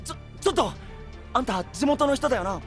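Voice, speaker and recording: A man's voice: very high voice, lively intonation, brisk. A man playing a naive, good-hearted young knight in an anime-style voice drama, talking in a boyish, casual, exclamatory way. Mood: startled. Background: music.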